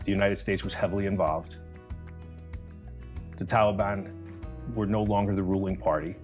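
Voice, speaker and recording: A man hosting an interview, introducing his guest deliberately and asking friendly questions. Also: fairly low pitch. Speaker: interview host.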